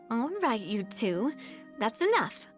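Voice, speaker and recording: Spirited young female boss for a video game: high-pitched, animated, gracious, assured. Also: takes it slowly, faint music behind. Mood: gracious, playful. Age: young adult. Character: young lady boss of a syndicate, warm, confident, spirited.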